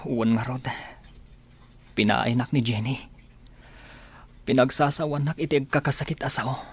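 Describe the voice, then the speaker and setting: A man's voice: mid-range voice, normal speed, husky. A man playing a humble, lovelorn young village suitor with wounded pride, in an Ilocano comedy radio drama. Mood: hurt, dejected.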